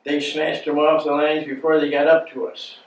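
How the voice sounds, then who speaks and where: An American man in his nineties, a war veteran, reminiscing informally to an audience in a halting, plain-spoken way. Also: a little high, monotone. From United States. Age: 90s. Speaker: war veteran, guest speaker. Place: indoor meeting room before an audience. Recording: echoey room, distant mic, faint noise.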